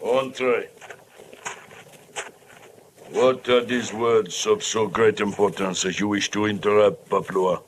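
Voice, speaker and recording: A man's voice: on the low side, steady tone, low-quality recording. A man playing a menacing voodoo priest in an old radio drama, speaking ominously in broken English. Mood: imperious. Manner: slow, ominous, incantatory. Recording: old radio recording, faint noise.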